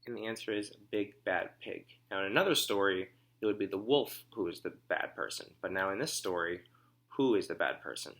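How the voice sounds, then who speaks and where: A man reading a story aloud as a teacher, phrasing it deliberately and simply for children and pausing to ask comprehension questions. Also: on the low side, a bit bright.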